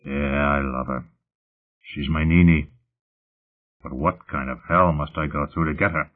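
Guy playing a surly entitled young man, deep voice, curt and defiant, muffled phone-like audio. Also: steady tone, a little slow. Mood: grudging, stubborn. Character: sullen crude young hothead, entitled and defiant. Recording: muffled phone-like narrow sound.

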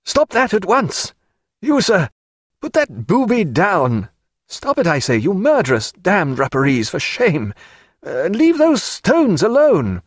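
A man playing a weary, courteous but testy gentleman castaway in an audiobook, with formal, dry phrasing. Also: mid-range voice, animated, measured pace, no room sound. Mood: indignant. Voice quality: croaky when weak. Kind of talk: audiobook character voice.

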